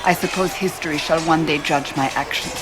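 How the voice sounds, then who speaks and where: Fantasy game warrior woman, actress performing: stern, devout, grave formal delivery, clear music bed. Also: low-pitched, steady tone, brisk, close to an everyday voice, low-quality recording. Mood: earnest conviction. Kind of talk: dramatic video game dialogue.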